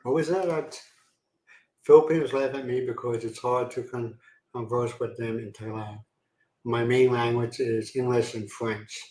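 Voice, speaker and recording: A man's voice: medium pitch, steady tone, normal speed, darkish tone. Rambling American man in an echoey room. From Pennsylvania, USA. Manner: rambling, self-deprecating, laughs easily. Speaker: live-stream host. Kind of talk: casual chat reading viewer comments. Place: home in the Philippines.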